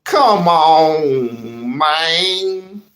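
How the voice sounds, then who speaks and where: A man's voice: on the high side, animated, very slow, fairly bright tone. A man hosting a heated commentary rant, slangy and swearing, repeating himself for emphasis. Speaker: commentary show host.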